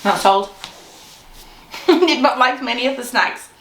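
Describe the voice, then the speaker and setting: A woman's voice: a little high, animated, takes it slowly, fairly bright tone. A woman with a Zimbabwean accent chatting casually and laughing often while tasting snacks. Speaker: vlogger. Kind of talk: casual chat. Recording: echoey room, faint noise.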